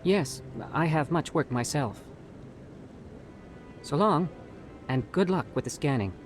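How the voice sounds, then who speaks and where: A man's voice: fairly high pitch, animated, takes it slowly, music in the background. A man playing a nervous, earnest scientist in a video game, with a hesitant, guarded way of talking. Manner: hesitant, stammering, evasive.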